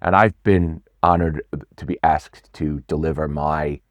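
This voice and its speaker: Middle-aged male coach, very deep voice, animated and stumbling, close dry mic. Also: unhurried, dry recording. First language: not Swedish; English speaker. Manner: animated, repeats words, lively stresses. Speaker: pitch coach guest. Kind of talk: casual interview talk.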